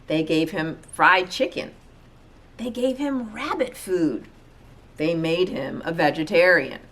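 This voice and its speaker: Low-pitched woman reading a storybook. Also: expressive, takes it slowly, fairly bright tone. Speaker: storybook reader. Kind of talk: expressive children's read-aloud narration.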